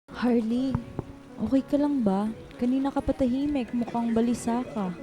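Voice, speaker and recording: A woman's voice: on the high side, moderate pace, natural voice. A woman playing a supportive, protective office friend, consoling and loyal, in a radio drama. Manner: consoling, indignant on friend's behalf.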